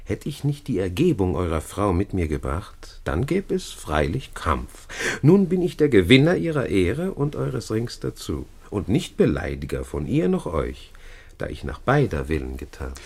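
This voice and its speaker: An actor playing a smug, suave gentleman schemer in a classic radio drama, taunting and theatrical, with a husky voice. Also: low-pitched, big pitch swings, normal speed, full character voice. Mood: taunting triumph. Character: smug scheming Italian gentleman boasting of seduction.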